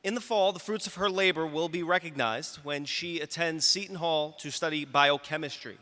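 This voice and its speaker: Bright-voiced man announcing in an arena. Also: fairly high pitch, moderate pace. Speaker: school official introducing speakers. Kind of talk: formal ceremony introduction. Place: large arena. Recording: arena with long reverb.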